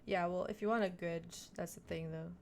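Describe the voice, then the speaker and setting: Chatty woman streamer, lowish voice. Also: fairly even intonation, a little fast, darkish tone, a little hiss or hum. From Montreal, Quebec, Canada. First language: French. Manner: chatty, thinking aloud, self-deprecating asides. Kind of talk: casual chat while gaming.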